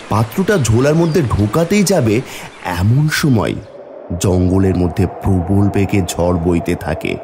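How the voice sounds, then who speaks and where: A man narrating a scripted fairy tale as a storyteller for an animated video. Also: fairly low pitch.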